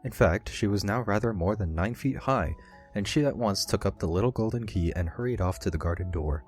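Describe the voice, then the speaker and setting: A man with a low-pitched voice reads scripted storybook narration. He serves as the audiobook's narrator, and music plays behind his voice. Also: a little fast, a little mellow.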